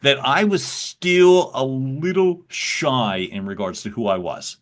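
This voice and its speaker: A man hosting a podcast interview, with a loud voice and an affirming way of speaking. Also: mid-range voice, very animated, takes it slowly. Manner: warm, loud voice, affirming listener. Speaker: podcast host. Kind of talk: casual interview.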